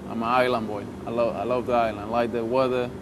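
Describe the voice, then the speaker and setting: A man in his thirties with a Puerto Rican Spanish accent talks in an enthusiastic, repetitive way. His pitch sits mid-range. Noticeable noise runs behind him. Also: level tone, fast talker. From Puerto Rico. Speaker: soldier interviewee. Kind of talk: casual interview. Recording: noisy field recording.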